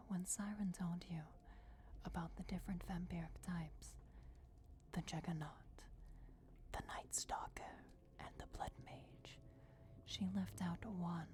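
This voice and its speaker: Woman doing a ancient vampire goddess, whispery and real slow, cold but motherly, asmr roleplay w crypt ambience. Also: fairly low pitch, mellow, slight background noise. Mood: conspiratorial, commanding. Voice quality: breathy, whispered. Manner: slow, deliberate, measured with dramatic pauses. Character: ancient vampire goddess, solemn, ruthless, commanding, motherly to her child.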